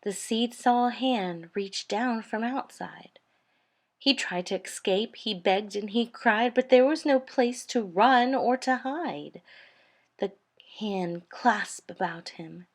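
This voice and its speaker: A woman reading a children's storybook aloud in an expressive, deliberate, rhythmic way. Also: fairly high pitch. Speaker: storytime reader.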